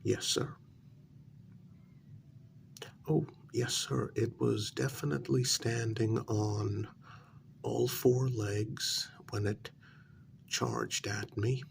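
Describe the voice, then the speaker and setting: A man playing a nervous, deferential night-shift road worker in a soft-spoken ASMR role-play, halting and polite as he gives his account. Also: mid-range voice, fairly even intonation, creaky, a little hiss or hum. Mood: uneasy, evasive. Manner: halting, deferential, with frequent pauses and polite sirs. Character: deferential, nervous night road-crew workman, adult man, hesitant witness. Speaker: ASMR performer.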